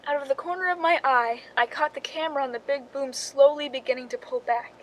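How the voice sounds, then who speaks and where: A woman's voice: high voice, fairly bright tone, slight background noise, poor audio. An actress playing a film stand-in who narrates her own crime story in a suspense radio drama, speaking in a dramatic first person.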